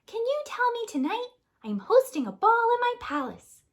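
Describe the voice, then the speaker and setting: A woman voicing an excitable young fairy-tale princess, shrill and calling out in a children's storybook. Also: moderate pace. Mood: excited. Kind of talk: children's storybook read-aloud.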